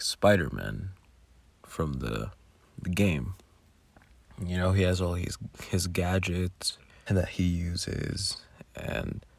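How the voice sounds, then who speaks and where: A man's voice: deep voice, vocal fry, no room sound. A man who makes audio roleplays, giving casual, rambling behind-the-scenes commentary with muttered asides.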